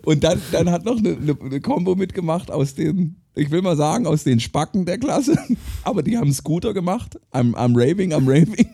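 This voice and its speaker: A man's voice: on the high side, normal speed, vocal fry, few pauses, breathy edge. A man in his thirties, co-hosting a casual podcast, telling jokey stories about music. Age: 30s. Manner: animated storyteller, jokey. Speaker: podcast co-host.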